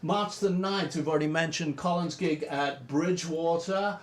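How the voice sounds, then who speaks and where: A man hosting an informal livestream, talking in a relaxed, rambling way with lots of fillers. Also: a little high, moderate pace, echoey room. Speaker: band member hosting a livestream.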